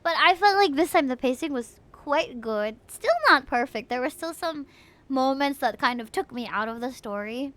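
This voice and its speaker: Female game streamer, slightly high pitch, casual and opinionated, thinks out loud. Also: animated, moderate pace. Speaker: video game livestreamer. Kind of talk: casual livestream chat while gaming.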